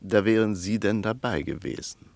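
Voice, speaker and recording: An actor playing a blunt, probing economist in a German radio drama. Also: male voice, lowish voice, moderate pace, vocal fry, a little mellow, dry recording. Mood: irritated, sardonic.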